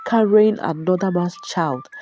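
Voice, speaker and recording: A woman's voice: fairly low pitch, measured pace, dark tone, faint music behind, lightly acted. A woman storyteller voicing a cruel, enraged older mother-in-law who scolds and threatens. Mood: scornful rage.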